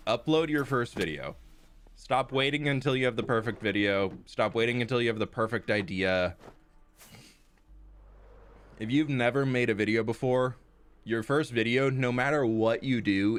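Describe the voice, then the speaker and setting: A man's voice: medium pitch, a bit bright. A man casually chatting with his chat while building in a game, sounding a bit stuffed up. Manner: laid-back, reads chat and answers between building. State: stuffed-up nose. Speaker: Minecraft streamer.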